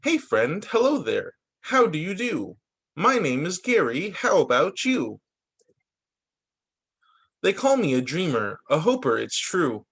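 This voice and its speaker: A man's voice: a little high, wildly swooping pitch, slow pace, creaky, fairly bright tone, breathy edge, full character voice. A man voicing an upbeat, hopeful young boy in a children's storybook, speaking in sing-song rhyme. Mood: excited, hopeful.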